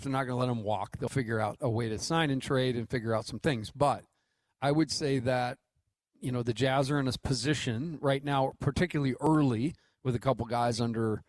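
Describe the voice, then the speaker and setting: A man's voice: medium pitch, normal speed. An American man co-hosting sports radio, talking casually and explaining things in a conversational, reassuring way. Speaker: radio co-host.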